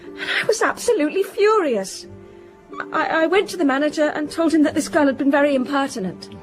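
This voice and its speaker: A high-pitched young woman from a well-to-do family acted by a woman in a radio play. She is proud but stricken with guilt. Her delivery is earnest and defensive, faltering as she explains herself. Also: brisk, soft background music, close to an everyday voice, rough recording. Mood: confessional, upset. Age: young adult. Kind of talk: radio drama acting.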